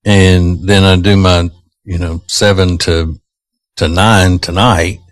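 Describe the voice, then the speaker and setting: A man's voice: low voice, level tone, slow pace, dry recording, studio quality. A male radio host chatting casually on a morning show, his voice slightly strained. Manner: chatty, teasing, rambling. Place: radio studio. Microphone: studio microphone.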